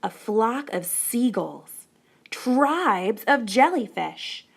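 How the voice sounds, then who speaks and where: Female storybook reader, high and very lively, unhurried, expressive delivery for young listeners. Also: gravelly, husky. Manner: animated, deliberate, dramatic emphasis. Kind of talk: expressive children's picture book read-aloud.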